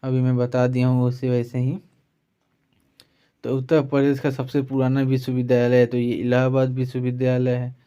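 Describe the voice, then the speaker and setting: A man's voice: mid-range voice, monotone, normal speed, dark tone, clear, resonant tone, muffled recording. A man teaching an online exam-prep lesson, reading out facts point by point with a repeated tag after listed items. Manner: repetitive verbal tag after each listed item.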